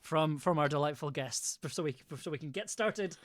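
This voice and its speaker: A woman's voice: deep voice, normal speed, no echo. A woman hosting a casual live panel, informal and often repeating phrases as they go. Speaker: host.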